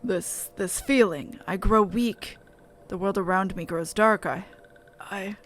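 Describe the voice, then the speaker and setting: A woman's voice: medium pitch, slow pace, fairly bright tone, a little hiss or hum. A woman voicing a pompous, vengeful defeated game villain in a theatrical, grandiose manner. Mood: fading, weak.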